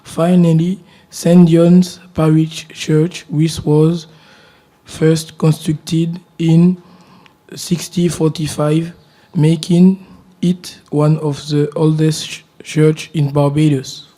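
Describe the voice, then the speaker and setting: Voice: mid-range voice, flat delivery, slow pace, warm tone, low-quality recording. A teenage student reading a short report aloud for a school radio show. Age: teens.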